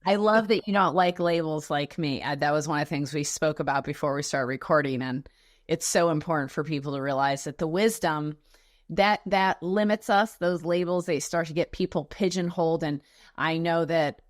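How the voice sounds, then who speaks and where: A woman with a very low-pitched voice hosts a wellness interview show. She speaks effusively and gushes over her guest, often restarting her sentences. The recording is dry and close. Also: moderate pace, dry recording. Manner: effusive, gushing praise with restarts. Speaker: podcast host. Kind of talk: warm interview chat.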